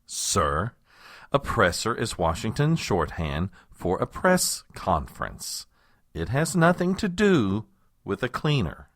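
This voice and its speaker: Casting: male actor as long-suffering political aide, low voice, slow and formal, exasperated, for comedy audio drama. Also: huge pitch swings, fairly bright tone, no echo, studio quality, heavily acted. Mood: patiently frustrated. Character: harried, exasperated, world-weary male chief of staff.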